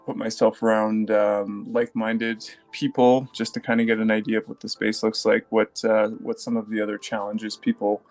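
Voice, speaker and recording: A man introducing himself in an online meetup, speaking in a measured, hesitant way. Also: on the low side, flat delivery, soft background music. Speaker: meetup participant. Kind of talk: casual self-introduction.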